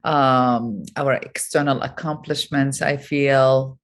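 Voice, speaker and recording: A middle-aged woman from Iraq with a notably low voice talks as an interview guest. She thinks aloud, pausing often with soft fillers before going on. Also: fairly even intonation. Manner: thoughtful, many pauses and fillers. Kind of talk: reflective interview talk.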